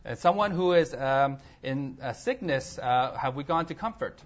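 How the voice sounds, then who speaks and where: A man interpreting a sermon phrase by phrase, often halting as he searches for his words. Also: medium pitch, expressive. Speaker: church interpreter. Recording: dull, lo-fi audio.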